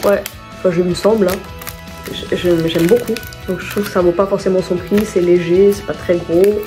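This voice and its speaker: A woman's voice: lowish voice, steady tone, normal speed, over music. A woman vlogging casually at home, chatting and rambling through her shopping haul, often stopping to correct herself and laughing at her own slips.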